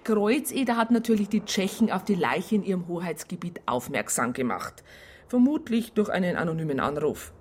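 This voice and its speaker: A woman's voice: on the low side, a little hiss or hum, natural voice. An actress playing an earnest, aggrieved woman who narrates events in a conversational, detailed way with a southern German accent. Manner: conversational, detailed, exasperated storytelling. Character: earnest, aggrieved female doctor recounting a police case. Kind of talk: first-person radio drama narration.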